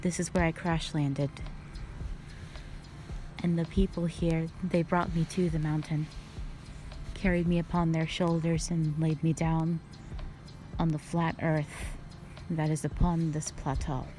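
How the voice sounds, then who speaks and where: Woman voicing a slow, stately ancient dragon warrior. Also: sits low, flat delivery, dark tone, soft background music, rough recording. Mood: solemn, reflective. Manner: formal, measured, stately. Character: calm, stern, ancient female dragon warrior, proud guardian. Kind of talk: scripted roleplay audio drama monologue.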